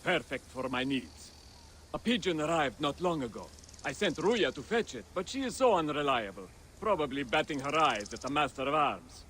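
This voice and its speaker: Peremptory game scholar: older, demanding, higher-set voice, very lively intonation, faint ambient chirring. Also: male voice, normal speed, slight background noise. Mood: impatient. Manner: expressive, peremptory. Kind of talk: dramatic video game cutscene acting.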